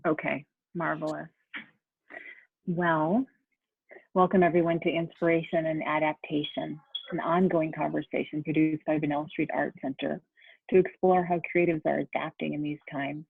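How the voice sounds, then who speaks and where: Husky woman host on a tinny call. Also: deep voice, level tone, moderate pace, no room sound. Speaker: host, artistic director. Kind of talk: video call conversation. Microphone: video call. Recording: tinny phone-like audio.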